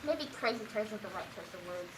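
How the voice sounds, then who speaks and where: A young woman acting a stern, exasperated small-town sheriff in a high school stage comedy, recorded on an echoey stage. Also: expressive, quick pace, background noise, lightly acted. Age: teen.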